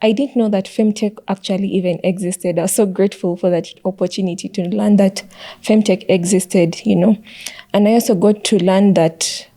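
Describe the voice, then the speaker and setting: A woman's voice: mid-range voice, steady tone, normal speed, mellow. A young woman giving earnest, conversational answers as a student panel guest. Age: young adult.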